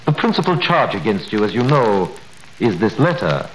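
An actor playing a guarded crown prosecutor who questions a prisoner in a measured, authoritative way, in a 1930s radio drama. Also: male voice, on the low side, big pitch swings. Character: cold, guarded crown prosecutor, grown man. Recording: roomy old radio recording with faint hiss.

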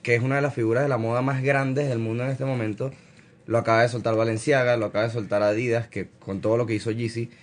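A man's voice: mid-range voice, little pitch movement, fast. A man in his twenties chatting casually in a bedroom, explaining things and joking around. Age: 20s. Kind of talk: casual chat. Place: hotel-style bedroom, indoors.

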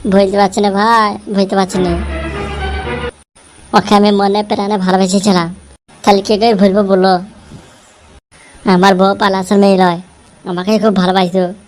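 A woman's voice: mid-range voice, little pitch movement, normal speed, pauses often, darkish tone, close to an everyday voice. A woman voicing a heartbroken, lovelorn young husband in a comedy cartoon, speaking plaintively. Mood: sorrowful. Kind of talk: comedy cartoon character dialogue. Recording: music behind the voice.

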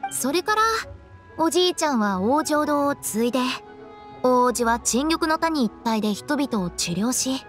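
Playful high-pitched young woman. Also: expressive, normal speed. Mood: breezy storytelling. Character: playful, quirky young woman running a funeral parlor. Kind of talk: Japanese anime game voice acting. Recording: faint music behind the voice.

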